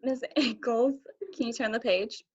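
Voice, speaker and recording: A woman's voice: on the high side, fairly even intonation, measured pace, darkish tone, breathy edge. A woman teacher reading a picture book for children, recorded with dull, lo-fi sound. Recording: dull lo-fi audio.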